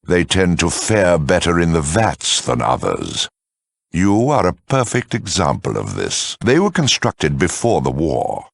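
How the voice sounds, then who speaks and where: An actor voicing a cruel, urbane super mutant lieutenant in a video game, mock-courteous, sardonic and theatrical, with a gravelly, raspy voice. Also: male voice, sits low, big pitch swings, unhurried, dry recording, studio quality, full character voice. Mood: smug, zealous. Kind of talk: video game villain dialogue.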